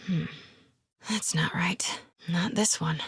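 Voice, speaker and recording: Casting: a young female investigative reporter for a game, plucky and nosy, very breathy voice, very dry sound. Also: on the low side, takes it slowly, dry recording, heavily acted. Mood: hushed, focused. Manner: hushed muttering to herself. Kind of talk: video game voice acting.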